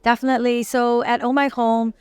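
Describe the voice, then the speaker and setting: A woman, a company CEO pitching her business in an interview, polished but with frequent fillers. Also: flat delivery, brisk, a little mellow, smooth, no echo. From Singapore. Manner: polished, promotional, uses many fillers.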